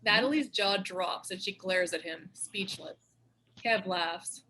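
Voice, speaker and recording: A woman's voice: mid-range voice, slow, pushed and bright, a little hiss or hum, poor audio. A woman reading stage directions as scripted narration for a screenplay table read.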